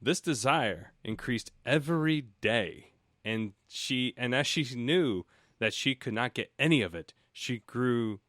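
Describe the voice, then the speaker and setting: Male comedy narrator, animated and bright, storybook reading with joking asides, very dry close sound. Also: mid-range voice, a little slow, no room sound. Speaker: host and narrator of parody audiobook show.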